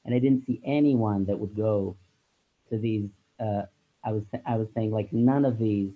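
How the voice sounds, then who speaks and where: A man's voice: lowish voice, fairly even intonation, moderate pace. Rambling man on a tinny line. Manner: casual, rambling, heavy use of "like". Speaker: workshop participant. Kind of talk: reflective discussion. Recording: tinny phone-like audio.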